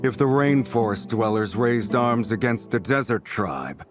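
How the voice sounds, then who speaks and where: Man acting slow-talking, ruthless desert chief. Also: medium pitch, expressive, music in the background, natural voice. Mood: wary, shrewd. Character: ruthless, shrewd male desert tribe chief. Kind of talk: video game voice acting.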